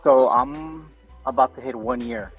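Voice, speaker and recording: A man's voice: mid-range voice, unhurried, faint music behind. A man from Guatemala, a podcast guest talking earnestly and openly in casual conversation. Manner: earnest, open about personal struggles.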